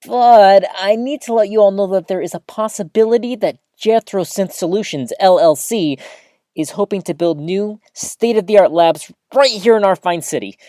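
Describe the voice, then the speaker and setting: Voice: medium pitch, normal speed, no room sound, clean studio sound, natural voice. A performer playing an earnest, eager novice host of a small-town community radio show, chatty and folksy, talking straight to the listeners in an audio drama. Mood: confiding, newsy. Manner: folksy, chatty, expressive, addresses listeners directly. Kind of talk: scripted audio drama as local radio broadcast.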